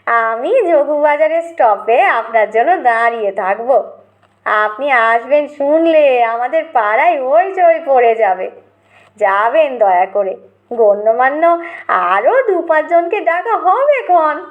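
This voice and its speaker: A woman's voice: high voice, lively intonation, slow, phone-quality sound. A woman voicing a humble young schoolboy fan in a comic audio story, obsequious, pleading and gushing. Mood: delighted, obsequious.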